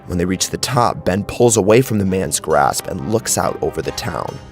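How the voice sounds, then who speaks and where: A man with a gravelly voice narrating a horror story in a dramatic, scripted style. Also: sits low, animated, moderate pace. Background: clear music.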